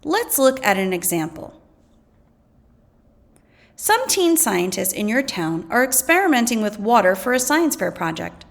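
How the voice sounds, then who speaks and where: A woman teacher reading a scripted lesson aloud, deliberately and clearly enunciated, pausing between items. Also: fairly high pitch, sing-song. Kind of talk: scripted educational read-aloud.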